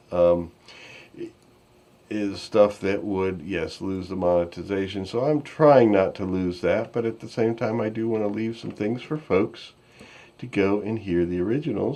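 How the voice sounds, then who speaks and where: A man hosting a podcast, giving casual commentary in a halting, rambling way. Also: fairly low pitch, darkish tone. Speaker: podcast host.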